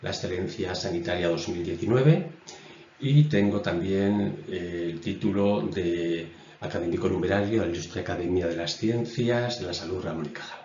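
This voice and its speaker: A man in his sixties, a naturopath and doctor, giving a measured lecture from a webcam at his desk. He repeats key phrases and uses frequent "eh" fillers. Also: sits low, a little mellow, a little hiss or hum. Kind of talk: explanatory lecture while drawing on a diagram. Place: indoors in front of a stone wall. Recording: roomy echo, dull lo-fi sound.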